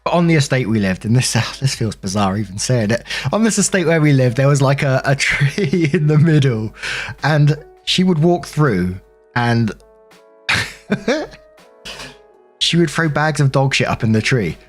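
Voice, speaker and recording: Casting: British man, medium-pitched voice, informal story narrator who rambles and swears freely, light music bed. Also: big pitch swings, a little slow. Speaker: narrator and host of a story compilation. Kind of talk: casual storytelling with personal commentary.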